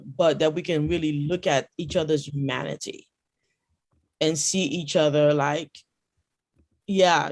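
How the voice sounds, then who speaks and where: A speaker joining an online meeting by video call. The voice is of medium pitch. The delivery is reflective and hesitant, with many pauses. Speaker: meeting participant.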